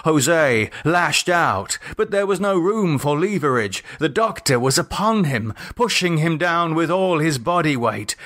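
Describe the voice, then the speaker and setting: A man reading his own horror novel as a scripted audiobook, with dramatic, deliberate storytelling. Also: a little high, expressive, fairly bright tone.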